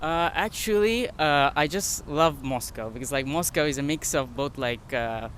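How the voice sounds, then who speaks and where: A man of twenty-six from Sri Lanka giving a casual street interview, halting and often saying "like". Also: fairly high pitch, a bit bright. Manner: halting, filler-heavy with frequent "like". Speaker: foreign student interviewee. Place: outdoors on a city street. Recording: outdoor street, faint traffic noise.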